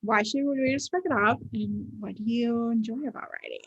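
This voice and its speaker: A woman's voice: fairly high pitch, a little fast, a bit bright, husky, dull audio. A woman from Puerto Rico hosting a book podcast, chatting casually with many "like" fillers. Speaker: podcast host. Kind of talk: casual interview chat.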